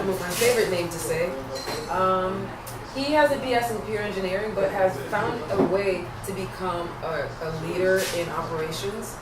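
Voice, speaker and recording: A woman from Haiti, a company CEO, giving an earnest, upbeat presentation at a community meeting with many ums. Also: mid-range voice. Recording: echoey room, distant camera, loud background noise.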